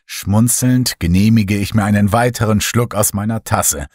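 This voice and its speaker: A man narrating a horror story in the first person, dramatic and lively. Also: lowish voice, normal speed, no room sound, studio quality. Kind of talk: horror audiobook reading.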